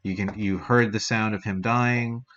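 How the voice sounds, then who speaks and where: A man giving a casual software tutorial as an instructor, explaining in an informal, rambling way. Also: on the low side, steady tone, unhurried. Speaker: game development instructor. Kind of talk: casual screen-recorded software tutorial walkthrough. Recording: dull lo-fi mic with background noise.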